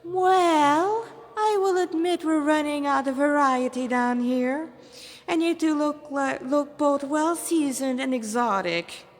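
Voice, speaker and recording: A smug male demon warlord from a fantasy story, as a woman narrator puts him on. He is vain and mocking, drawing out his taunts at a raised pitch. Long reverb trails the voice. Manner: languid, drawn-out, taunting. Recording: reverberant echoey room.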